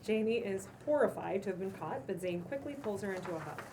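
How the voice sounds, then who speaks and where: A woman's voice: mid-range voice, animated, a little fast, dark tone. A woman reading stage directions as scripted narration at a casual table read. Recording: distant room mic, clear background noise.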